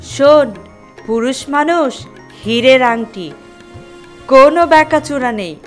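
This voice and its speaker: A woman's voice: sits high, animated, slow pace, soft background music. An actress playing an opinionated woman in a family audio drama. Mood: assertive.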